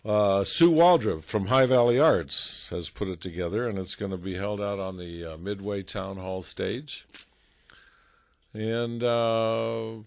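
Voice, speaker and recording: A man's voice: fairly low pitch, unhurried, vocal fry, dry recording. A man hosting a casual radio interview, joking and chatty with frequent fillers. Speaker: radio host.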